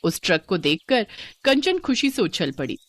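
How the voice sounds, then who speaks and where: A woman's voice: medium pitch, big pitch swings, normal speed, background noise. A woman narrator delivering a scripted moral story as storytelling narration.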